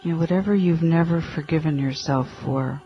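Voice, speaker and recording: A man leading a guided meditation in a gentle, halting manner, leaving long pauses between short phrases. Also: fairly high pitch. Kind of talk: guided meditation, spoken instructions. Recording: dull lo-fi audio with faint music.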